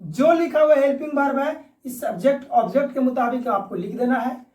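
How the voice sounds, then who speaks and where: Indian lady teacher, highish voice, explains step by step n repeats stuff, roomy echo far from mic. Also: lively intonation, fairly quick, a little mellow. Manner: instructional, repeats each point, checks understanding with short questions. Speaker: English grammar teacher. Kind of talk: step-by-step classroom lesson. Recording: echoey room, distant microphone.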